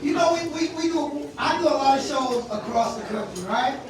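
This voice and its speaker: A woman comedian from South Carolina with a deep voice, doing a loud, punchy stand-up set and working the crowd. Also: expressive, normal speed, recorded from a distance. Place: comedy club stage. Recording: echoey live venue, mic heard from afar, crowd laughter. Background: audience laughing.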